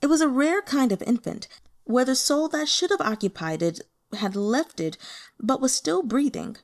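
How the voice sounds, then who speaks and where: Frightened teenage boy for a fantasy audiobook, read by a woman: flustered, pleading, raised and very lively pitch. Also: moderate pace. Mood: nervous explaining. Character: frightened eighteen-year-old boy, secretly a scheming ghost cultivator.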